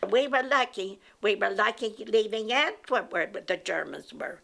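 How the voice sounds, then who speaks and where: A woman in her nineties from Belgium, reminiscing about her life in a slow, steady interview. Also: mid-range voice, gravelly. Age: 90s. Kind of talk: personal life story told in an interview.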